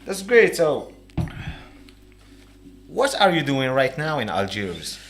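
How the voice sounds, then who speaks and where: Slow-paced Algerian man hosting a podcast. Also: mid-range voice, sing-song, a bit bright, soft background music. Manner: halting, searching for words. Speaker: podcast host, interviewer. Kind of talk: casual interview hosting.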